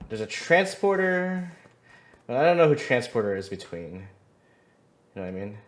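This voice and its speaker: A man's voice: medium pitch, sing-song, normal speed. A man giving casual commentary and chatting with other players during an online game. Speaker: gaming video creator and player.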